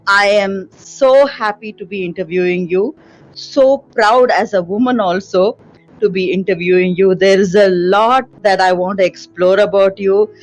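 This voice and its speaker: A woman hosting an online interview, admiring and quick to laugh, speaking into a headset mic from a small room. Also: mid-range voice, poor audio. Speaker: interview host. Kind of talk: casual video-call interview. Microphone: headset mic on a video call.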